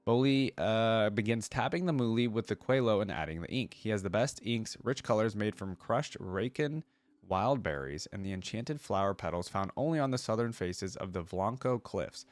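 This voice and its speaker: A man's voice: lowish voice, lively intonation, normal speed, no echo. A man hosting a reaction video, reading lore aloud and adding casual commentary as he thinks aloud.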